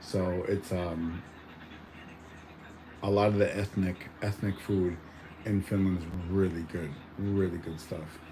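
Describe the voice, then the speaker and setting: A man's voice: low-pitched, little pitch movement, slow, pauses often, noisy background. A young man born in Mexico chatting casually at home, rambling with lots of fillers and restarts. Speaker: vlogger. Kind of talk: casual chat.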